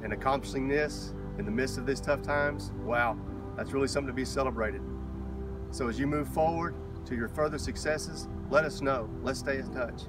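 A man's voice: medium pitch, moderate pace. Upbeat male official outdoors, casual tone, mic set back, music underneath. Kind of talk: prerecorded congratulations. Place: outdoors on a campus lawn. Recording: distant mic with music behind.

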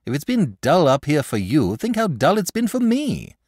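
A man voicing an eager, excitable young village boy in a storybook reading, pleading and high-pitched. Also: normal speed, no room sound, clean studio sound. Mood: excited.

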